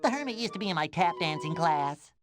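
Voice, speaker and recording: A woman's voice: on the low side, animated, fast, close to an everyday voice. A woman voicing a chatty, singsong young female character in a cartoon game, over music. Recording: music behind the voice.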